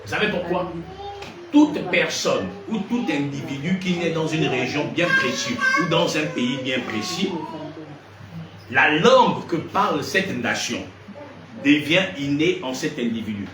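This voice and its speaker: Lively preacher, echoing from afar. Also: male voice, a little high, measured pace. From Gabon. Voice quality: lively, questioning delivery that probes the audience. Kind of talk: sermon teaching to a congregation. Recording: echoey room from far off, noisy.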